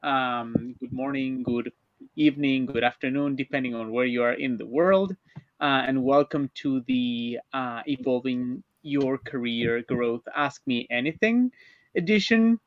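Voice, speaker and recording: A man from Argentina hosts an online panel in a halting way. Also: mid-range voice, dry recording. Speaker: panel host. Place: converted school bus, video call. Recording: muffled lo-fi online call.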